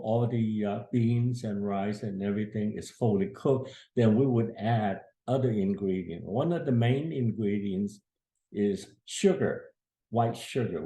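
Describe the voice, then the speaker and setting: Older man, gravelly and mellow, unhurried halting storyteller, roomy sound. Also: on the low side. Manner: slow, halting, many filler pauses. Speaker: storyteller grandfather. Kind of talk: casual family storytelling.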